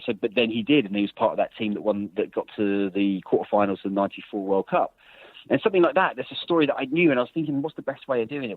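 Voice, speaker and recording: A man's voice: lowish voice, husky, no echo. A man, a journalist and author, telling long stories in a casual interview, rambling with many restarts and fillers. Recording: dry, phone-like line.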